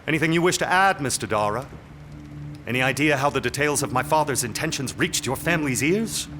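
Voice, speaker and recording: A man's voice: mid-range voice, expressive, brisk, sharp tone, faint music behind. A man playing a proud, cold, unyielding lord in a period audio drama. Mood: cool, suspicious.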